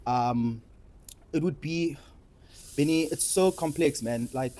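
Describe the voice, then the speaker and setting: A man's voice: fairly high pitch, takes it slowly, dark tone. A young man with a South African accent hosting a casual discussion, telling personal stories with emphatic repetition. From Cape Town, South Africa. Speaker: discussion host and participant.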